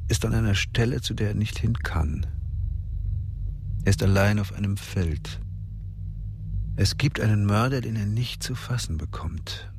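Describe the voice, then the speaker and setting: A man narrating a crime radio drama in a measured, literary way. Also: lowish voice, gravelly, background noise.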